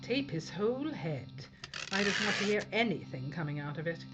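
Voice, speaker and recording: An actress playing a tough, blunt spaceship captain in a science-fiction audio drama, with a dry and defiant manner. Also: female voice, lowish voice, expressive, moderate pace, very noisy, natural voice. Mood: bitter, wry. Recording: dull lo-fi sound over music.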